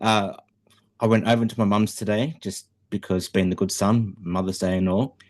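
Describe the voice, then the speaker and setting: Middle-aged Aussie man, very low voice, halting chatty co-host with a dry streak. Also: flat delivery, a little mellow. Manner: hesitant, many ums, dry humour. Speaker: podcast co-host. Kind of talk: casual chat.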